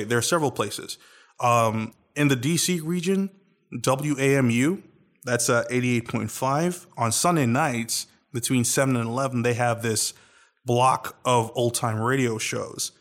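A man's voice: mid-range voice, fairly bright tone. A man co-hosts a casual podcast chat, talking with eager enthusiasm. His voice sits in an ordinary mid range. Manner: enthusiastic, chatty. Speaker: podcast co-host.

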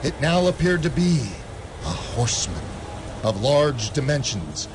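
A man's voice: mid-range voice, big pitch swings, unhurried, noisy background. A man narrating a radio play in a theatrical way, building tension through the telling. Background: music.

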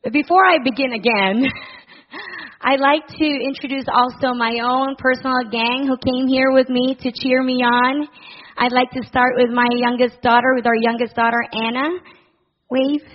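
A woman's voice: high-pitched, fairly even intonation, moderate pace, muffled recording, a little hiss or hum. Earnest middle-aged woman from the Philippines. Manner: warm, earnest, with soft laughs and fillers. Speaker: director of a family services charity. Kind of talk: church talk to a congregation.

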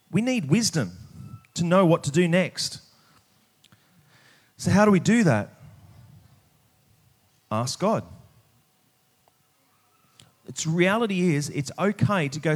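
A man preaching a sermon, speaking emphatically and pausing deliberately on key words. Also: medium pitch, darkish tone. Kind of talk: sermon with scripture reading.

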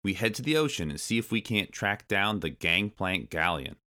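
A man voicing a steady, decisive gorilla leader in a storybook. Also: deep voice, moderate pace. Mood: resolute.

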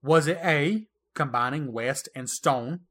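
A man's voice: on the high side, slow, fairly bright tone. A man co-hosting a casual gaming podcast, running a trivia quiz in a playful, teasing way and laughing often. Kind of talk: casual retro gaming chat.

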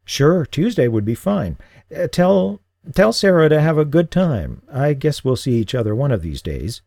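A man voicing a lonely, regretful middle-aged father with a halting, earnest manner in audiobook dialogue. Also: on the high side, sing-song, vocal fry, mellow, no echo, studio quality, pitched above the performer's own voice. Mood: subdued, sincere.

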